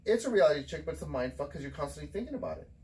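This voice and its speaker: A man's voice: on the high side, big pitch swings, very fast, darkish tone. A man in his forties hosting a casual podcast chat, blunt and profane, lecturing his co-host with insistent repetition. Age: 40s.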